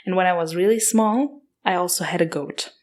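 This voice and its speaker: A young woman from Austria with a German-accented English hosts her own podcast, reading out listener questions and answering them one after another. Her voice sits a little lower than most women's, and she talks in an easygoing way, dotted with ums, likes and quick restarts. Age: 20s. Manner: chatty, with frequent fillers and self-corrections. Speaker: podcast host. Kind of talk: casual solo podcast Q&A.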